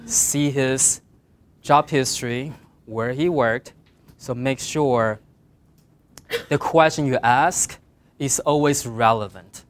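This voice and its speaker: A middle-aged man with a Mandarin Chinese accent, speaking English as a second language, giving earnest career advice to design students, rising on key points and trailing off quietly at the ends of phrases. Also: mid-range voice, takes it slowly, long pauses, a little hiss or hum. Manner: earnest, plain advice with emphatic rises and trailing quiet endings. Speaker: guest speaker.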